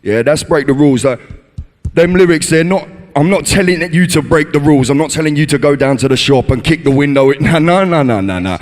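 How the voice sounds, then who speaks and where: A man with a bassy voice, an MC giving a workshop, talking in a relaxed way and tagging lines with "you know". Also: a little fast. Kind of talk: casual talk to an audience. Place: conference hall. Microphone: handheld stage microphone. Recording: reverberant hall.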